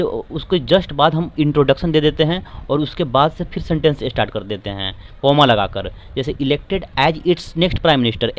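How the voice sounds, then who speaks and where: A man with an Indian accent walks students through an editorial, stretching each English phrase slowly before explaining it. He spells tricky words out letter by letter in a patient classroom way. His voice sits a little higher than most men's. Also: lo-fi sound, slight background noise. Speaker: English teacher.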